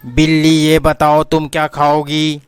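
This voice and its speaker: A man's voice: on the high side, completely flat delivery, measured pace, smooth, no echo, faint music behind, lightly acted. A man voicing a bossy cartoon dog. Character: scheming talking dog, adult male.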